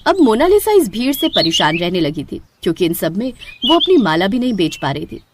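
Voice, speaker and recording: A woman narrating a scripted moral story as an expressive storyteller. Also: medium pitch, fairly quick, noisy background.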